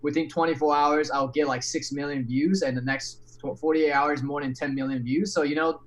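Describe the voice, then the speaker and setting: A man, an English speaker, telling his story as a podcast guest in an animated, candid way with frequent restarts and casual swearing. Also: a little high, normal speed. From Taiwan.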